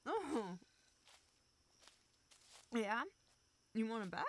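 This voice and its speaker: A woman playing a playful, teasing young woman in an intimate roleplay audio, talking to the listener as a close, affectionate friend in halting, natural phrases. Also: fairly high pitch, big pitch swings, exaggerated voice.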